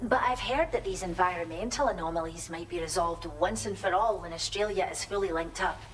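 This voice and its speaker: Low-voiced, kindly wildlife researcher, played by a woman. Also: brisk, close to an everyday voice. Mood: earnest explaining. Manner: gentle, colloquial, explanatory. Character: friendly wildlife sanctuary researcher, kindly adult woman, grateful. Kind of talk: video game character dialogue.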